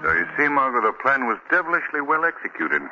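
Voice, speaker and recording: An actor playing a suave, self-assured crime fighter in a 1940s radio drama, authoritative and articulate. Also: male voice, mid-range voice, sing-song, loud music behind the voice, natural voice. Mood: calm, explanatory. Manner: authoritative, measured, articulate explaining. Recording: dull, hissy old radio recording with clicks.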